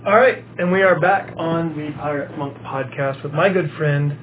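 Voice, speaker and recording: Higher-voiced co-host, halting, lo-fi. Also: male voice. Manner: rambling, halting with many fillers. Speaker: podcast co-host. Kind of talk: casual chat. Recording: lo-fi phone-like sound with faint hiss.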